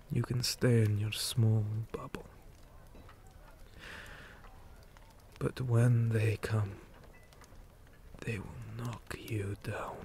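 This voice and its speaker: A man playing a weary, principled orc commander in an ASMR roleplay, speaking gravely and measuredly and often dropping into breathy whispers. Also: fairly low pitch, warm tone, a little hiss or hum. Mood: grave, proud.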